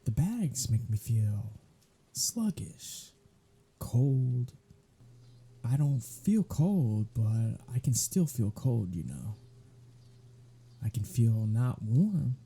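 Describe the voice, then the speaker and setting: A man's voice: medium pitch, very animated, drawn-out words, long pauses, warm tone, slightly rough, exaggerated voice. A man playing a sardonic, weary vampire in an ASMR audio roleplay, talking in a flippant, conversational way. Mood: weary, subdued.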